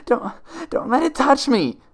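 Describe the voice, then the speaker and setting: Guy doing a scared girl pony from a story, high voice, sobbing and stammering, loud n begging. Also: big pitch swings, unhurried, full character voice. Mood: frightened pleading. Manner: stammering, tearful, desperate begging. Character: terrified young female pony, sobbing and pleading.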